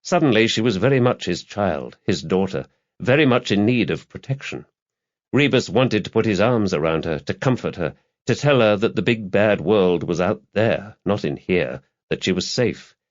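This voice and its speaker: Male narrator for crime audiobook: lowish voice, very animated intonation, slightly muffled lo-fi recording. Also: moderate pace. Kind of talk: dramatic scripted narration of a crime novel.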